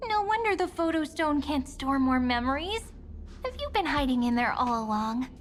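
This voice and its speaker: A woman voicing a gentle, curious young game heroine who muses softly and with playful politeness to herself. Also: high voice, very animated, normal speed. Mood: curious, musing. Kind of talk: video game voice acting, musing to herself.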